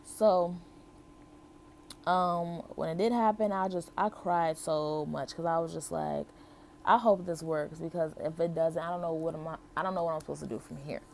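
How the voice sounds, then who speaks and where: A woman's voice: low voice, fairly even intonation, normal speed, creaky, darkish tone, slight background noise. A young American woman tells a personal story casually to her viewers, in a candid, conversational way with emphatic repetition. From Buffalo, New York, and Las Vegas, United States. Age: young adult. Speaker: vlogger telling her life story.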